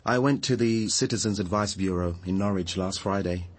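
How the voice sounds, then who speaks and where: An actor playing an earnest, gentle, hard-up idealist activist in a radio drama, mild and a little preachy. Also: male voice, low voice, normal speed. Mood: downcast.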